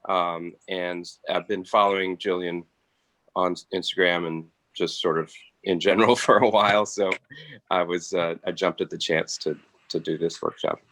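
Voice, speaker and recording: A man speaks casually and haltingly, often doubling back on words. His voice is very low yet bright and forceful, recorded dry. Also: steady tone, dry recording. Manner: halting, repeats words as he goes. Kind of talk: casual self-introduction.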